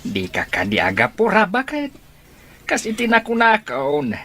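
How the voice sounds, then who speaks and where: A woman playing an old, exasperated village husband and father in an audio drama, with a husky, grumbling voice. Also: medium pitch, huge pitch swings, measured pace, a bit bright, full character voice.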